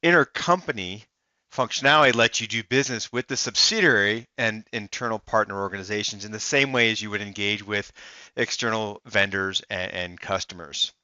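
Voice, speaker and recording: A man narrating a software demo as a voice-over, explaining it step by step in the first person. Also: lowish voice, fairly quick, dry recording, muffled recording. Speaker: software demonstrator.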